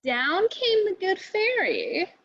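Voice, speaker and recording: Female storytime host for young kids, high lilting voice, playful and chatty, slightly muffled lo-fi recording. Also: wildly swooping pitch, slow, vocal fry, fairly bright tone. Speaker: children's librarian, storytime presenter. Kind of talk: children's storytime read-aloud.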